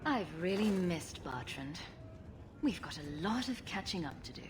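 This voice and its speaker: A woman's voice: fairly low pitch, very animated, measured pace, music in the background. An actress playing a sardonic, companionable female rogue hero in a video game, speaking in a wry, casual way. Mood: sarcastic.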